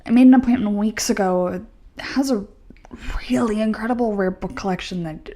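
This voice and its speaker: A woman's voice: mid-range voice, fairly quick, warm tone, lightly acted. A woman playing an anxious, studious researcher in an audio play, hesitant, polite and apologetic.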